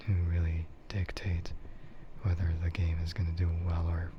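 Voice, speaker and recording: Deep quiet guy doing asmr stream chat, half whispering, rambly, muffled audio w noise behind. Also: little pitch movement, normal speed. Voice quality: soft, breathy, often whispered. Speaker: livestreamer. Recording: muffled with clear background noise.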